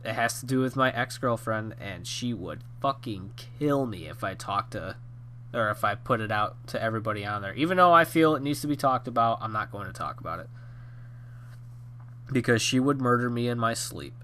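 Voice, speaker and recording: Rambling young man, bright nasal voice. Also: mid-range voice, lively intonation, moderate pace, a little hiss or hum. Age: 20s. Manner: rambling with many fillers and restarts. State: nasal and hoarse from a cold. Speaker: YouTube storyteller. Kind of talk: rambling casual storytime.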